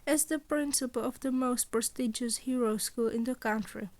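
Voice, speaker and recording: A woman's voice: on the high side, moderate pace, darkish tone. A woman narrating fan fiction in an amateur story-reading style.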